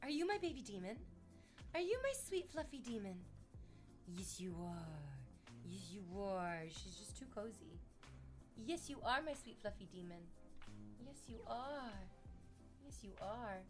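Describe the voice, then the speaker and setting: Relaxed, chatty woman livestreaming, drifting between talk with her viewers and sweet baby talk to her dog. Her voice sits at an ordinary middle pitch. Soft music plays low behind her. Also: unhurried, pauses often. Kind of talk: casual livestream chat.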